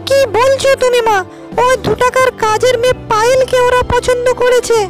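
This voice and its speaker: A woman's voice: very high-pitched, little pitch movement, normal speed, over music. A woman voicing a spoiled, vengeful young landlord's daughter in a cartoon story, with haughty, emphatic delivery. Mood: angry, vengeful. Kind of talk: cartoon moral-story acting.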